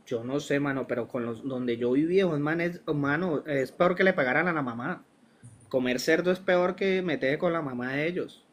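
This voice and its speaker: Animated Colombian man telling a story. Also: fairly high pitch, moderate pace. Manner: animated, slangy, acts out dialogue. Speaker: guest. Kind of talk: casual storytelling in a livestream interview.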